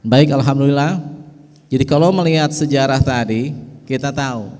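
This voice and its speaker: A man's voice: medium pitch, moderate pace, a little mellow, in a large hall. A middle-aged man with a Betawi and West Javanese accent gives a folksy speech at a community ceremony, often tossing in "ya" asides. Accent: Betawi and West Java Indonesian. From West Java, Indonesia. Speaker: speaker at an organization event. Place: large hall.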